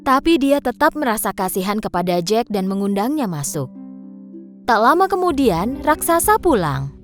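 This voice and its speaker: High, lively Indonesian woman storyteller. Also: moderate pace, faint music behind. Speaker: storyteller, narrator. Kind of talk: scripted children's story narration.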